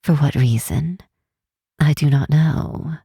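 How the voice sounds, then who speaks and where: A teasing half-elf tower mistress, acted by a woman in a fantasy ASMR roleplay. Her voice is dark and husky with a creaky edge, soft and close. She chooses formal, old-fashioned words and lets her curiosity show in an unhurried, playful manner. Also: deep voice, dry recording, heavily acted. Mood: pensive, curious.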